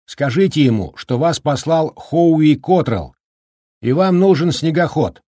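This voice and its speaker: A cheerful middle-aged snowplow driver, put on by a man reading a storybook, pitched well above the reader's own voice and louder than him. He speaks in a brisk, folksy, joking way, with a husky edge carried over from the reader, on a dry close recording. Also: animated, no room sound. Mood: cheery, chatty.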